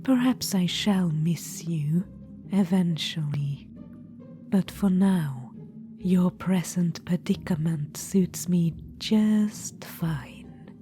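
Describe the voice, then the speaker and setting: A woman voicing a cold, scheming undead witch-queen in a whispered ASMR roleplay, with a dry, mocking manner. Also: drawn-out words. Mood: cold, vindictive. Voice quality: whispered, soft and low. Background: music.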